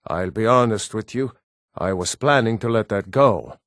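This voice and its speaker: Male actor as earnest fantasy Nord townsman, lowish voice, measured and formal, dry close studio sound. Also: fairly bright tone, no room sound, natural voice. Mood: calm, formal. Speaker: video game voice actor. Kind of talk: scripted fantasy game dialogue.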